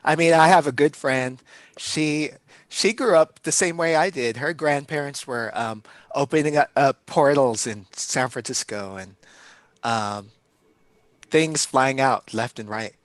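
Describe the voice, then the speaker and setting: Soft-spoken Californian male guest. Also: mid-range voice, a little slow, no echo. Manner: soft-spoken, laughs often. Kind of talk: casual interview chat.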